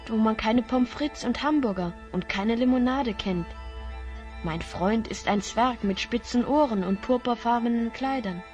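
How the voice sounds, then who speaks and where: A woman's voice: on the high side, lightly acted. A woman playing an earnest young boy hero stranded in a fantasy world, in a children's audio drama.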